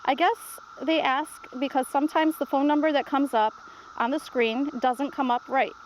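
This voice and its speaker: A patient storybook grandmother, read aloud by a woman, reassuring and instructive. Her voice sits high, with faint noise behind it. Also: fairly even intonation, normal speed, close to an everyday voice, low-quality recording.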